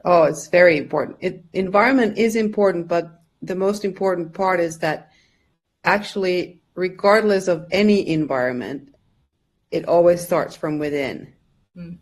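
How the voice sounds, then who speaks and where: A woman's voice: on the low side, normal speed. A woman from Finland whose first language is Finnish, answering interview questions as a guest coach in a thoughtful, earnest way.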